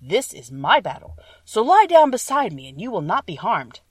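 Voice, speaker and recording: A woman's voice: medium pitch, big pitch swings, moderate pace, no room sound, far from the mic, pitched above the performer's own voice. Storybook hero read by a woman: male straw man, protective, friendly, resolute, very dry sound. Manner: reassuring, resolute.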